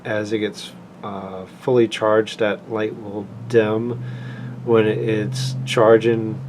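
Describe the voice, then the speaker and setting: A man giving an informal, unscripted hands-on product review, explaining things plainly and haltingly. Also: lowish voice, level tone, background noise.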